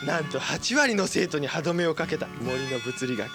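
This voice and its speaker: High-voiced man reading a mock promo. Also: animated, normal speed. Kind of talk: mock promotional announcement read from a script. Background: plucked guitar music.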